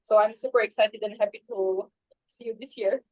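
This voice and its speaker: A woman's voice: mid-range voice, fairly even intonation, a little fast, dry recording, poor audio. A woman from Romania introducing herself as volunteer staff on a video call, speaking in a friendly, easygoing way. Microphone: dry video call mic.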